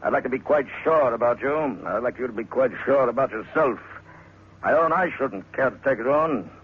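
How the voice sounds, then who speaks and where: An actor playing a cautious, businesslike museum manager in an old radio drama, measured and formal with hesitant asides. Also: male voice, medium pitch, husky, lightly acted. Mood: measured warning. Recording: tinny, noisy old radio recording.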